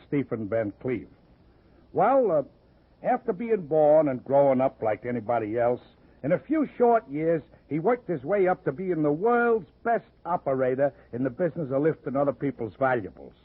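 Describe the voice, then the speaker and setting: Grumbling ex-crook valet for old radio: husky, swooping delivery, streetwise bad grammar, mournful. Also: male voice, fairly high pitch. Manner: ungrammatical tough-guy talk, mournful. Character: gruff, grumbling crook turned reluctantly honest manservant. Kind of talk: 1940s radio comedy-mystery acting. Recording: muffled, tinny old radio recording.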